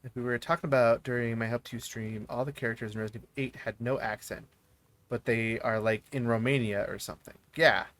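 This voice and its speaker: A man's voice: mid-range voice, steady tone, a little fast, a bit bright. A man who is not a native English speaker giving casual, rambling let's play commentary. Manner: rambling, mumbling asides with fillers. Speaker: gaming streamer.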